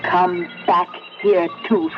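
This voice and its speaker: Sentient female computer, extremely slow deliberate delivery, menacing and coaxing, vintage radio drama, dull recording with music. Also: lowish voice, fairly even intonation. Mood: taunting, coaxing. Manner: slow, drawn-out, deliberate phrasing. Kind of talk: 1970s radio drama acting.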